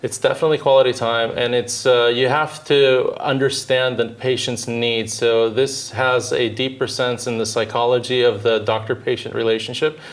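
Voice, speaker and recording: A man in his thirties from Southern California, a dentist answering interview questions in a measured, thoughtful way with frequent filler pauses. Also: mid-range voice, level tone. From Southern California, United States. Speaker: dentist and orthodontist guest.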